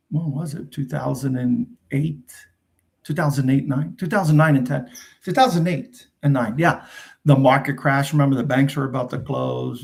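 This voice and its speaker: Gravelly Jersey man chatting live. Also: mid-range voice. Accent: New Jersey. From New Jersey. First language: Portuguese. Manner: rambling, chatty, frequent fillers and restarts. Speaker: host. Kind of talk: casual live Q&A chat.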